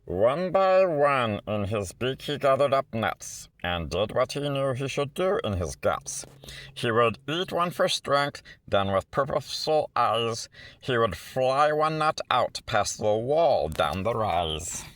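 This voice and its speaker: Male storybook reader for rhyming picture book: theatrical, lively pitch swings, measured pace, rhythmic phrasing. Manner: theatrical, rhythmic delivery with stretched words and asides. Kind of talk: expressive read-aloud of a rhyming children's picture book.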